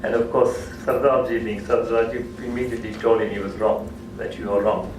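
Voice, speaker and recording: A man's voice: on the low side, level tone, brisk. A man whose first language is Gujarati tells an anecdote at a cultural talk, repeating and restarting phrases as he goes. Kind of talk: storytelling lecture. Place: hall. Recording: echoey hall, distant mic, noisy.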